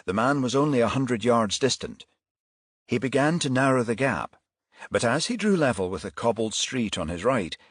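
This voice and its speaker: A man's voice: lowish voice, slightly rough, no echo, clean studio sound. A man reading a crime thriller audiobook as scripted narration.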